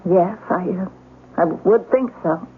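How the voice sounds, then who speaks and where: A woman's voice: fairly low pitch, unhurried, natural voice. An actress playing an ambitious, nagging wife and new mother in a radio drama, with an insistent, emphatic delivery. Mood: troubled. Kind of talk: 1970s radio drama acting. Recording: noisy, tinny old radio broadcast.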